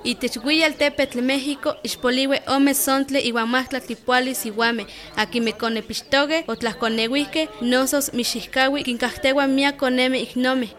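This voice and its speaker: A helpful village woman in a radio drama. Her voice is high. She comes across as the informed one, explaining things to her friends. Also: steady tone, unhurried, hardly any pauses, a bit bright. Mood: friendly, informative. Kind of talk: radio soap opera acting.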